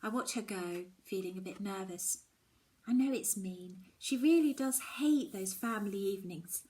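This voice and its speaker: A woman reading a children's novel aloud in the first person, with expressive, dramatic emphasis. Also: medium pitch, normal speed. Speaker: storybook reader.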